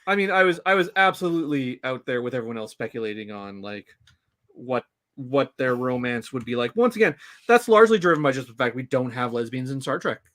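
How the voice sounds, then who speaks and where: A man co-hosting a podcast, talking casually about a TV series with halting restarts. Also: mid-range voice, animated, a bit bright. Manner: halting, many restarts and fillers. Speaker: podcast co-host. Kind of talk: casual fan discussion.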